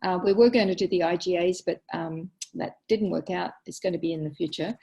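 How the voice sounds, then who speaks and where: A middle-aged woman coordinating an online meeting, presenting data with many ums and halting restarts over a video call. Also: on the low side, dark tone.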